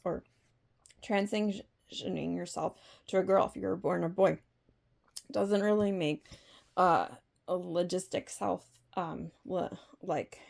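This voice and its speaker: A woman speaking off the cuff in an opinion vlog, halting and rambling, often posing rhetorical questions. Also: fairly low pitch, gravelly, darkish tone. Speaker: vlog host. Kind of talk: unscripted opinion monologue.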